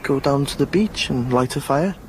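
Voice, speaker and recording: A man's voice: medium pitch, moderate pace, close to an everyday voice, low-quality recording. Teen boyfriend voiced by a man, playful, coaxing, faint noise. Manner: playful, persuasive. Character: cheeky teenage boyfriend, coaxing.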